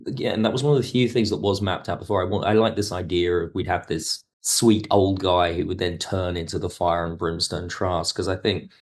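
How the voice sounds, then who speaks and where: A man's voice: low voice, fairly even intonation, a little fast, few pauses. A man talking casually as an interview guest, thinking aloud in broken phrases as he explains his creative work. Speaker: podcast guest, audio drama writer.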